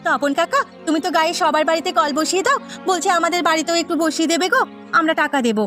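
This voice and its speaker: Casting: woman to voice eager cartoon village sister, piercingly high-pitched, earnest, pleading, light music behind. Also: steady tone, rapid-fire, a bit bright. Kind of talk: Bengali cartoon voice acting.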